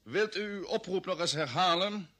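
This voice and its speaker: An actor playing a level-headed spaceship commander who gives orders and asks questions in a vintage radio drama. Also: male voice, sits high, animated, normal speed, a bit bright. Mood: alert. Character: level-headed male spaceship expedition leader.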